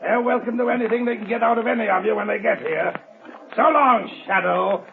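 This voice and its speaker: Gravelly, high-pitched old radio villain. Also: male voice, brisk, narrow, tinny audio. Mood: taunting. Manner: menacing, taunting. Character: ruthless gloating double-crossing swindler and killer villain. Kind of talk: 1930s radio acting. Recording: scratchy band-limited 1930s radio, echoey, noisy.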